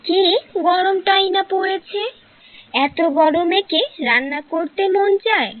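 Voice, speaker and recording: Very high-pitched grumbling cartoon housewife, played by a woman. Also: slow, slight background noise. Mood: grumbling, curious. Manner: complaining, talks to herself. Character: grumbling, chatty housewife hounded by crows. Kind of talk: cartoon story monologue. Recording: narrow-band, phone-like sound.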